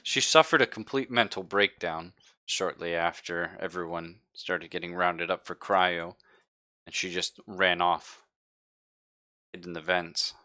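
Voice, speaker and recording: A man running a tabletop roleplaying game, speaking in character with a measured, halting delivery. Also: deep voice, fairly even intonation, bright, forceful tone. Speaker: game master.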